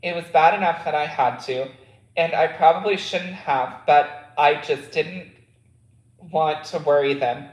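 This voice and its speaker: A man's voice: on the high side, unhurried, echoey room, natural voice, low-quality recording. A man reading the lines of an earnest, caring middle-aged mother in his own voice, with small slips. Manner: plainly read dialogue with small slips.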